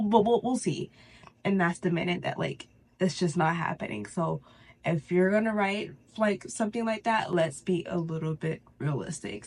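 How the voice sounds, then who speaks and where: A woman with a stuffy, congested nose hosting a casual, chatty spiritual tutorial, informal and halting with pauses for breath. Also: mid-range voice, a little mellow. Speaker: host of a spirituality channel.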